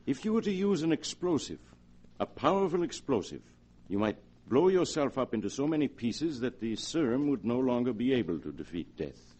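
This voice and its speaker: An actor playing a grave scientist in a 1940s radio drama, speaking in formal, ominous phrases. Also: male voice, medium pitch, sing-song, moderate pace, dark tone, slightly rough. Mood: ominous, deliberate. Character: grave male professor, theatrical scientist. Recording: vintage 1940s radio recording.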